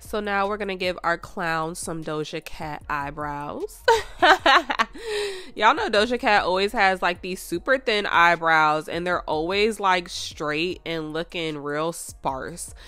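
Female nail vlogger, slightly low voice, hoarse and congested, chatty and rambling, dry close sound. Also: very animated, slow, pushed and bright, no room sound. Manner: chatty and rambling, full of "like" and "you guys". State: hoarse and stuffed up. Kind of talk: casual nail art tutorial.

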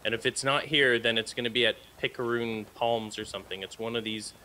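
A man's voice: medium pitch, fairly even intonation, brisk, bright, forceful tone, rough recording. A man joking around casually with a co-player while playing a video game. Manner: goofy, joking. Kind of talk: casual gameplay banter with a co-player. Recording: clear game noise behind voice. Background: video game sound effects.

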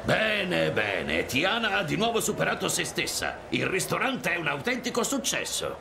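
An actor playing a jovial, proud old grandfather with a gravelly voice in an animated video game. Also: sits high, moderate pace, noisy background, heavily acted.

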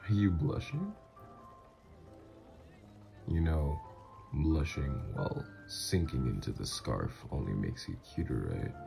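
A man acts out a mafia boss doting on his lover in an ASMR audio roleplay. His voice is extremely deep and gravelly, swinging freely up and down as he flirts. He talks in an intimate, teasing, reassuring way, with music playing behind him. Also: big pitch swings, slow, pauses often, full character voice. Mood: flirty, teasing.

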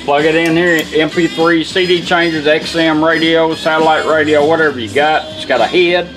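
A man talks through a casual hands-on product demo in a folksy, unpolished way. His voice sits a little on the high side. Some music plays in the background. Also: steady tone, moderate pace. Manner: folksy, plain-spoken, hands-on. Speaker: car radio restorer and demonstrator. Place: indoor workshop bench. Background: music from the demonstrated radio.

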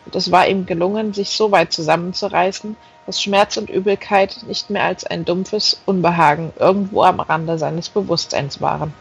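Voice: medium pitch, creaky, slightly rough. Slightly muffled novel narrator over music. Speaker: audiobook reader. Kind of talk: scripted narration of a fantasy novel.

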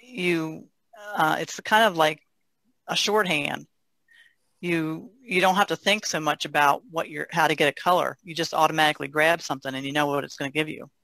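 A woman's voice: deep voice, fairly even intonation, normal speed, vocal fry, fairly bright tone, no room sound, poor audio. An older woman, a painter, casually explaining her craft in conversation. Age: older, about 60s. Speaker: painter, podcast guest.

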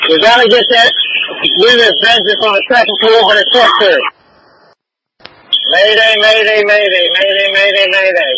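A man works a fire attack and talks urgently over two-way radio. His voice is high, and the transmission is clearly noisy. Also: fairly even intonation, normal speed. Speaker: firefighter on interior fire attack. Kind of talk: urgent emergency radio traffic.

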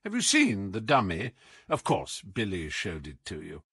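A man's voice: sits low, wildly swooping pitch, unhurried, a bit bright, slightly rough, no echo, studio quality, voice pushed down, full character voice. A man reading an audiobook as a whimsical, imperious detective with a British accent, theatrical, commanding and wry. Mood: animated, wry. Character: brilliant, whimsical, imperious male English detective.

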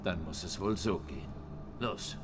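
An actor playing a grizzled middle-aged monster hunter who talks tersely and bluntly in a video game dub. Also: male voice, deep voice, a little slow. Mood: curt. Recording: reverberant with music underneath.

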